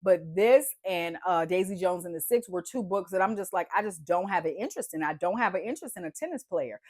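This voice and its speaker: A woman's voice: fairly low pitch, a little fast, no room sound, clean studio sound. A woman book vlogger with a stuffy, congested voice, chatting casually and animatedly about books she loved. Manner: chatty, animated, says "y'all" and "like" often. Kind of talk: casual book review chat.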